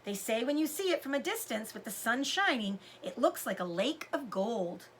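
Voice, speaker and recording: A woman's voice: a little high, sing-song, normal speed, slight background noise. A woman voicing a kind, grateful orphaned pioneer girl in a storybook. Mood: hopeful.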